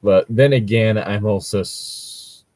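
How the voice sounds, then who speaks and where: A man giving casual, conversational commentary in a halting way, with lots of filler words and some swearing. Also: lowish voice, darkish tone, dry recording. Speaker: podcast host reacting to read-aloud Reddit posts.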